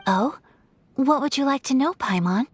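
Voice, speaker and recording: Poised, breathy young noblewoman. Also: high-pitched, expressive. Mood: calm formal. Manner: formal, measured and polite. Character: young noblewoman, composed and courteous. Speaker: noble of a ceremonial commission. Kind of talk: video game voice acting.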